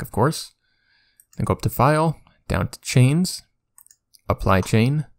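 A man giving a casual software tutorial as a YouTuber, informal and self-deprecating, with the odd casual swear word. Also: on the low side.

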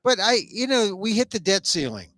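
A man's voice: high-pitched, sing-song, normal speed, a bit bright, dry recording. An American man in his sixties hosting a casual, opinionated chat on a headset mic, rambling and restarting his thoughts. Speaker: talk show host. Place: small indoor room, online talk show.

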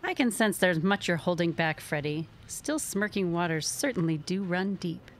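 A woman's voice: fairly low pitch, expressive, moderate pace, a little hiss or hum, close to an everyday voice. A woman playing a genteel, sympathetic lady friend, polite and soothing, in a period audio drama.

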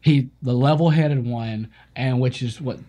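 A man in his thirties co-hosting a casual podcast, chatty and quick to laugh. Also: medium pitch, dark tone. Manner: chatty, laughs easily, riffs on the other host. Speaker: podcast co-host. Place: small studio with city-skyline backdrop.

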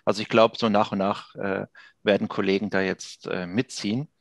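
A man in his thirties with a low voice talks casually as an interview guest. The sound is close and dry, picked up by a headset during a video call. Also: level tone, normal speed, no echo. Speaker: audio drama producer, interview guest. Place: indoor office room on a video call.

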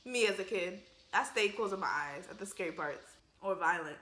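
A woman's voice: mid-range voice, normal speed, fairly bright tone, roomy sound, slight background noise. A woman in her twenties giving casual, laughing commentary on a film, full of slangy asides, speaking into a wired earphone mic in a bare room. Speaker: YouTuber reacting to a film.

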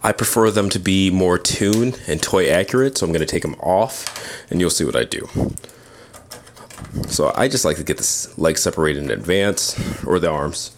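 Very low-pitched man giving casual demo. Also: animated, normal speed. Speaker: toy reviewer. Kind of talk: casual hands-on product demonstration. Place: indoors at a tabletop display. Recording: clear background noise, handling clicks.

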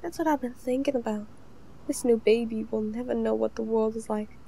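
A woman's voice: a little high, fairly even intonation, a little fast, creaky, warm tone, husky. A woman playing a worried, wistful mother among survivors in a comic dub, speaking pensively. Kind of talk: amateur comic-dub voice acting.

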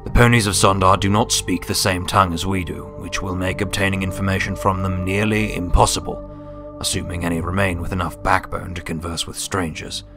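A man voicing a grave, scholarly old male wizard pony reading out his journal in a formal, deliberate, subdued manner. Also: low voice, level tone, over music. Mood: deliberate explaining.

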